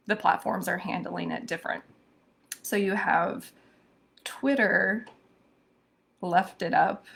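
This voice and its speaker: Hoarse female host, slow and gravelly, thoughtful live-stream commentary with lots of ums. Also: medium pitch. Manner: thoughtful, many ums and restarts. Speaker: social media show host. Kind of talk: live-streamed commentary on social media news.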